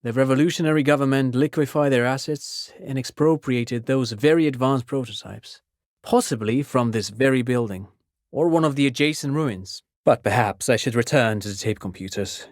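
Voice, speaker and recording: A man plays a genial, bookish father in a video game. He is polite and eager, explaining everything at length in a mid-pitched voice. The sound is dry and close. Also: normal speed, dry recording, natural voice. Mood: eager lecturing. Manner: erudite, rambling, over-explaining, genial. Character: chatty, pedantic intellectual father, eager and polite. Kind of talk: video game character dialogue.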